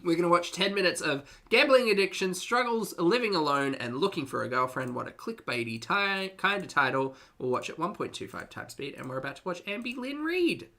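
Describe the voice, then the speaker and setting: A man's voice: high voice, big pitch swings, brisk, few pauses, pushed and bright. A man hosting a reaction stream, chatting casually to his live audience with dry, teasing sarcasm. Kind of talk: casual live commentary to a chat.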